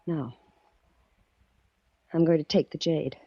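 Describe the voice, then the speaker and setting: A woman's voice: sits low, fairly even intonation, slow pace, mellow, slightly rough, no echo, heavily acted. An actress playing a cold, vengeful young woman in a 1940s radio drama, clipped and accusing. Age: young adult. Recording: muffled vintage 1940s radio recording.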